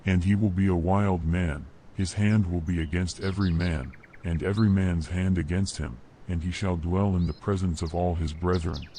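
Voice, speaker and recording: A man reads scripture as a narrator in a solemn, measured, formal manner. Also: low-pitched, fairly even intonation, warm tone, a little hiss or hum. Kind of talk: solemn scripted Bible reading. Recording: nature ambience behind voice. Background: birdsong and nature sounds.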